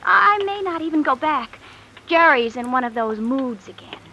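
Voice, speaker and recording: A bright, coy young woman. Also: sits high, lively intonation, moderate pace, a little hiss or hum, heavily acted. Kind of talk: 1950s radio drama acting.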